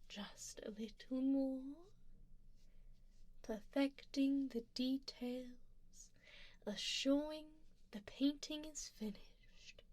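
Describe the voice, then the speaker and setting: Woman as a high, slow-spoken, soft vampire duchess. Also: dry recording, heavily acted. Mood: doting, self-assured. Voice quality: soft, breathy whispered passages. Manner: genteel, measured, formal, gently commanding. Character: refined, doting, possessive female vampire duchess, playfully condescending. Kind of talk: ASMR roleplay, soft-spoken with whispering. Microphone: close binaural microphone, dry.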